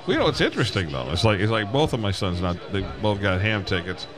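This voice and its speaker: A man's voice: fairly low pitch, sing-song, fast, music in the background. A man hosting a casual live radio show, chatting in a folksy, rambling way that circles back and repeats. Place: live broadcast from a busy ham radio convention. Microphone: broadcast microphone with PA amplifier. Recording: crowded convention floor, busy background chatter.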